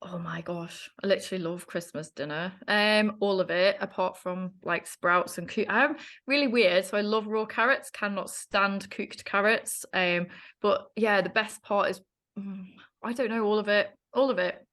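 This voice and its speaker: A woman guest with a bright, forward voice chats in a bubbly, giggly way. She laughs at herself easily and talks freely. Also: mid-range voice, normal speed. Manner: bubbly, giggly, self-deprecating. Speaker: podcast guest. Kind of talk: casual chat.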